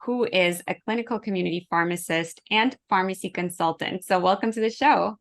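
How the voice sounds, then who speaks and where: A woman's voice: mid-range voice, a little fast. A woman hosting a podcast, interviewing a guest in a conversational style. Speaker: podcast host.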